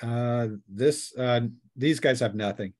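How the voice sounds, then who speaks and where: A man's voice: medium pitch, takes it slowly. A man narrating a tabletop role-playing game as game master in a casual, conversational way.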